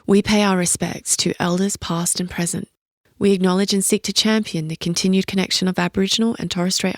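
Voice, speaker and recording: A woman from Australia hosting a podcast, reading a formal, measured intro and then interviewing a guest. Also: lowish voice, gravelly, no echo, studio quality. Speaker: podcast host.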